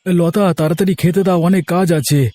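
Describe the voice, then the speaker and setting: A man as a high-voiced, hurried farmer. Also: steady tone, dark tone, dry recording. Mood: hurried, insistent. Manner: urgent, insistent. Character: hurried, hardworking village farmer husband. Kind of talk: Bengali cartoon moral story acting.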